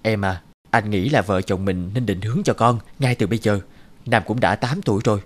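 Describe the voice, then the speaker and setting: A man voicing a big, mild-mannered middle-aged father in a cartoon, measured and fatherly. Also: lowish voice, lightly acted. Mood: mild, conceding. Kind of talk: cartoon dubbing. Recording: guitar music loud behind.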